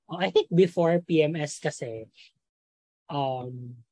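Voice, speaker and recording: A young man in his twenties from Bohol with a Filipino accent, a native Cebuano speaker, chatting reflectively as a podcast guest with pauses and restarts. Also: fairly high pitch, warm tone, no room sound. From Bohol, Philippines. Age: 20s. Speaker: podcast guest, medical student. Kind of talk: casual interview chat.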